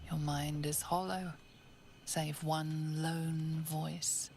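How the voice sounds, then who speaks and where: A woman playing an ominous, hushed storyteller narrating a dark fantasy video game. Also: low-pitched, flat delivery, very slow, creaky, soft background music, exaggerated voice. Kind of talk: dramatic second-person game narration.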